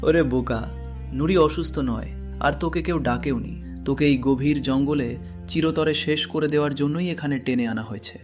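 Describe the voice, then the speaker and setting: A man's voice: on the high side, normal speed, lightly acted. A man playing a deceitful, cruel estate henchman in a cartoon story, in a scheming, menacing manner. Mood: gloating menace. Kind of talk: villainous cartoon story acting. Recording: loud music behind the voice.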